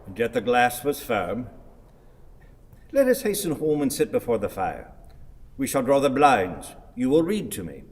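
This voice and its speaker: An actor playing an irascible, sardonic old blind husband in a radio play, grumbling and declaiming theatrically. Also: male voice, medium pitch, sing-song, measured pace, long pauses. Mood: grumbling, brooding. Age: older. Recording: reverberant hall.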